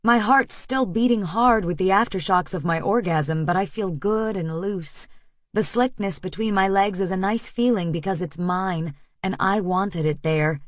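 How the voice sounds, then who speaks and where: A woman's voice: mid-range voice, measured pace, no room sound, dull audio. A woman reading first-person romance fiction as an audiobook narrator, in a scripted storytelling style.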